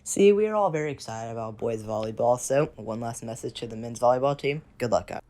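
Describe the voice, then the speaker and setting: A man's voice: mid-range voice, expressive, a little fast, mellow, a little hiss or hum. A teenage boy reporting on his school's volleyball team in an upbeat, scripted way. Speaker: student reporter. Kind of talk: reporter segment.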